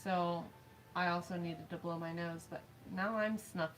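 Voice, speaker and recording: A woman's voice: fairly low pitch, steady tone, unhurried, fairly bright tone, slight background noise, low-quality recording. A woman in her thirties with a snuffly nose, chatting in a dry, teasing way. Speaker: co-host reading chat. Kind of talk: casual chat. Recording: stream with faint background music. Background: faint background music.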